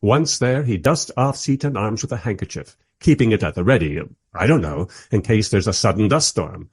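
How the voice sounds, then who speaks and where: A man playing a wry, politely exasperated film director who narrates a radio play in conversational asides with a husky voice. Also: on the low side, lively intonation, normal speed, no echo, studio quality.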